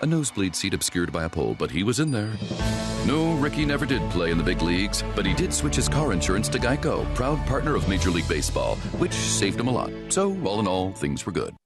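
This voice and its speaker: A deep-voiced American man narrates a radio ad like an inspirational sports tale. His intonation is very expressive, over loud music. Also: fast. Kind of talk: mock-inspirational story narration for an ad.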